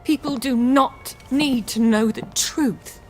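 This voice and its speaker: A woman's voice: high-pitched, slow pace, faint music behind. A woman playing a serenely sinister, forcibly cheerful lady in a video game, sugary and patronising. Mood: chillingly cheerful. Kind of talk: video game character acting.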